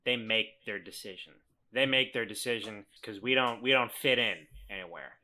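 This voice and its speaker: Man as a bright-voiced, world-weary badger misfit. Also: lowish voice, moderate pace. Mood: blunt, resigned. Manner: blunt, earnest, urging.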